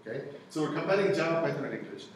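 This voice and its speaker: A man's voice: fairly high pitch, lively intonation, very fast, distant mic, a little hiss or hum. A middle-aged man whose first language is Tamil, giving a conversational university lecture, often checking in with the audience. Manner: conversational, many "you know" and "okay?" check-ins. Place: lecture hall with blackboard and projector. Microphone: far room camera. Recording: echoey lecture hall, picked up from afar.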